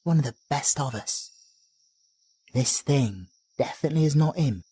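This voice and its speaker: A man's voice: mid-range voice, expressive, normal speed, mellow, husky. A young man with a British accent, voicing a friendly, chatty male traveler companion who rambles and uses matey slang in an audio roleplay. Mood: reassuring.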